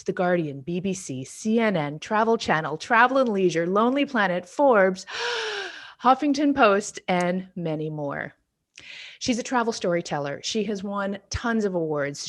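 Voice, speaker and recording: A woman hosting an interview, reading an enthusiastic introduction of her guest. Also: on the low side. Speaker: interviewer host. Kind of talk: video interview.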